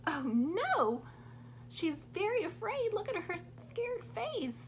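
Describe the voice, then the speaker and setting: A woman's voice: very high voice, big pitch swings, slow, slight background noise. A woman reading a story aloud to young children expressively, prompting them to join in as she goes. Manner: interactive, prompting children with questions and sound words.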